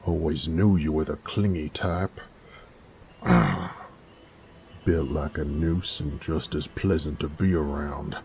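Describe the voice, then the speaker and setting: A man playing a gruff, cocky werewolf bounty hunter in a Western audio roleplay, drawling in an American Southern accent with sardonic taunts. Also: very deep voice, fairly even intonation, slow, a little mellow, slight background noise. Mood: defiant, sardonic. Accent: American Southern drawl, Western style.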